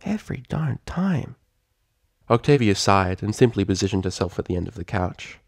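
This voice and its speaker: A man's voice: low-pitched, steady tone, fast talker, no echo, clean studio sound. A man reading fan fiction aloud as a scripted, dramatic story narrator.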